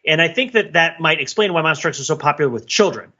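A middle-aged man talks through ideas on a podcast in a wordy, mock-academic way. His voice is bright and forceful with a husky edge, at an ordinary pitch. The recording is a little dull and lo-fi. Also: animated, fast. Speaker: podcast panelist. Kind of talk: casual analytical podcast discussion.

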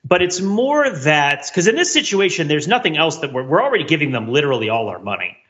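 Middle aged guy on a brainy podcast, sharp bright voice, wry and rambly, kinda lo-fi sound. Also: on the high side, very animated, fast talker. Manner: discursive, wry, academic vocabulary. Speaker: podcast host. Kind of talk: casual intellectual podcast discussion.

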